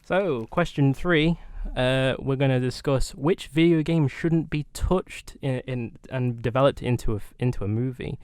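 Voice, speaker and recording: A man's voice: medium pitch, normal speed, few pauses, a little mellow, clear, resonant tone, dry recording. A man chatting and debating casually as a panelist on a show.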